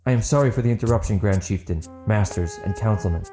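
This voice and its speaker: A man's voice: fairly low pitch, fast, dark tone, echoey room, soft background music, close to an everyday voice. A man playing a dutiful, apologetic guard in a fantasy audiobook. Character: dutiful, apologetic male guard addressing his superiors.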